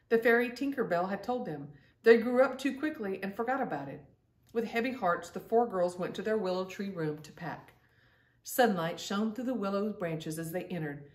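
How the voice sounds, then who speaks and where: A woman's voice: medium pitch, a little mellow. Middle-aged woman reading aloud, roomy sound. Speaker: storytime host reading aloud. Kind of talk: read-aloud children's storytelling. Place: indoor room at home. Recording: roomy, distant-mic home recording.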